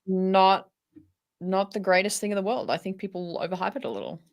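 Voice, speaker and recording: A woman's voice: medium pitch, steady tone, brisk. An Australian woman co-hosting a casual livestream, talking in a slangy, teasing and enthusiastic way. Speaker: livestream host.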